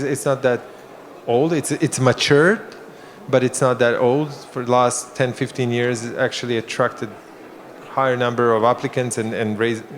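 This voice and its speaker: A man moderating a conference panel, talking with frequent restarts and fillers. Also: mid-range voice. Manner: halting, many restarts. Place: conference hall.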